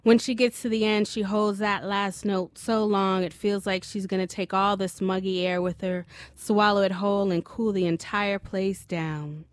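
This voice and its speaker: A middle-aged American woman with a voice of average pitch, a writer in a studio. She grew up in Maryland and speaks as a guest author. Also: level tone, moderate pace, hardly any pauses. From Silver Spring, Maryland, USA. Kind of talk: story reading and casual studio interview.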